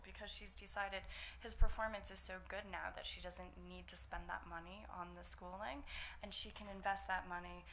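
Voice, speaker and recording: A woman speaking as a nonprofit representative at a press conference, explaining things in measured, step-by-step phrases. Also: on the low side, gravelly, sharp tone, slight background noise.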